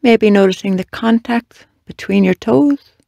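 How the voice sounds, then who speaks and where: A meditation guide leading a body scan in a slow, measured, gently instructing way with long pauses. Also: mid-range voice, gravelly, dry recording.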